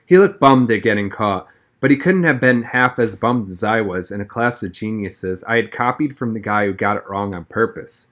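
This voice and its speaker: A man's voice: on the low side, normal speed, a bit bright. A man reading a children's novel aloud as a first-person audiobook narrator.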